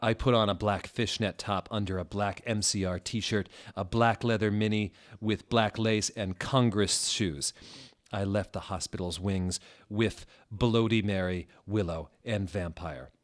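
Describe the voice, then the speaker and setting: Slow, mock-serious man reading aloud. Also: on the low side, steady tone. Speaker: narrator reading fan fiction aloud. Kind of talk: dramatic comedic reading.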